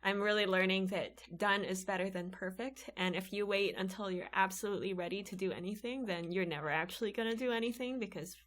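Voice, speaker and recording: A woman's voice: mid-range voice, fairly even intonation, a little fast, hardly any pauses, a bit bright. A woman from the Philippines co-hosting a casual podcast chat in a library recording studio, earnest and encouraging. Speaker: podcast co-host.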